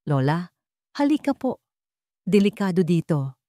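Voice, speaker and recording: Woman voicing an earnest young man, expressive pitch. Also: measured pace, no room sound, clean studio sound. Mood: urgent concern. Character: earnest, devoted young man, poor job seeker. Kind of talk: dramatic audio storybook.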